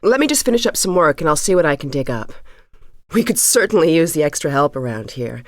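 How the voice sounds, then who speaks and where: A woman's voice: deep voice, very animated, fairly quick, no room sound, clean studio sound. An actress playing an earnest, composed middle-aged woman physicist in a video game, speaking precisely and a little formally. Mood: eager explaining. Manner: precise, articulate, slightly formal. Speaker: scientist character in a video game. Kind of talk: scripted video game voice acting.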